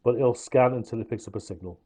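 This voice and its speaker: A man with a soft-edged, mellow voice talks through a piece of gear in an informal review. He explains each feature plainly and matter-of-factly, in a relaxed tone at a middling pitch. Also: fast talker. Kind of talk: informal product review and demonstration.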